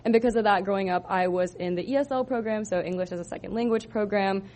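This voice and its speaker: A woman's voice: medium pitch, quick pace, darkish tone, slight background noise. A woman in her twenties from Southern California presenting her senior thesis to an audience, casual with many ums and likes. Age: 20s. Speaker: student presenter.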